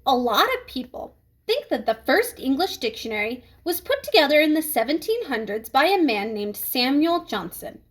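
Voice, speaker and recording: A woman reading a children's book aloud with expressive storytelling phrasing. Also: sits high, moderate pace.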